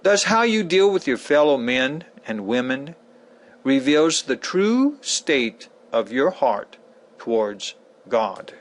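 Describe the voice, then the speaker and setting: A man playing a solemn, admonishing teacher from the Gospels, reading a lecture dramatically with deliberate pauses. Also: medium pitch, sing-song. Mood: solemn, measured.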